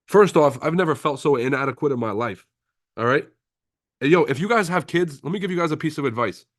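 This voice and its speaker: A man's voice: a little high, lively intonation, brisk, no echo, studio quality. Brash profane man hosting a trading stream. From Lebanon. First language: French. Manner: brash, profane, laughs often, calls viewers bro. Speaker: trading livestream host. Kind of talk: casual livestream chat reading viewer comments.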